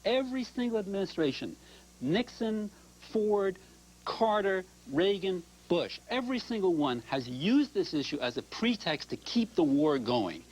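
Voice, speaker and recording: An older man, an author and professor, speaking as the guest on a call-in TV discussion, animated and quick to cut in with agreement. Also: sits high, poor audio.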